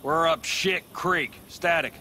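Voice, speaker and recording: A man's voice: fairly high pitch, slow pace, bright, forceful tone, slight background noise, heavily acted. An actor playing a gloomy, folksy nomad man in a video game.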